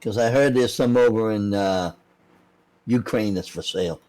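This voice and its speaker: An easygoing man chats casually and cracks jokes. His voice sits a little low. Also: moderate pace, a little mellow, rough recording. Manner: easygoing, chatty, joking. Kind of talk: casual chat.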